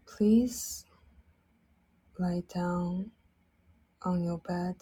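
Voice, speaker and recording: Very slow, breathy woman guiding sleep meditation. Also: on the low side, fairly even intonation. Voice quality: soft, breathy, partly whispered. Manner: soothing, gentle, short phrases with long pauses. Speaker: meditation guide. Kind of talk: guided sleep meditation, slow instructional narration.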